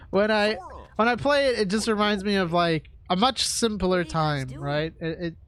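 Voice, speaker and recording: A young man streaming a game, talking in a halting, reflective way with lots of fillers, his nose stuffed up from congestion. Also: high-pitched. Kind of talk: casual rambling stream chat. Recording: game music loud behind voice. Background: game music.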